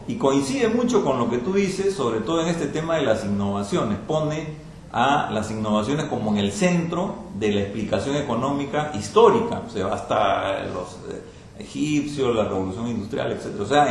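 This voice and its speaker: Guy commenting at a panel, kinda hesitant, repeats himself a lot, normal pitch, really echoey hall with faint hiss. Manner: hesitant, repeats words, rhetorical tag questions. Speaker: panel commentator at a book launch. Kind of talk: spoken commentary at a public event. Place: institute event hall. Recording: very echoey lecture room, faint noise.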